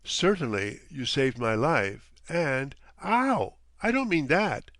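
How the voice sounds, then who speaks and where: A man voicing a polite but irritable young American gentleman in an audiobook, formal, flustered and curt. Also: medium pitch, very animated, slow pace, no room sound, full character voice. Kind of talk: audiobook character voice.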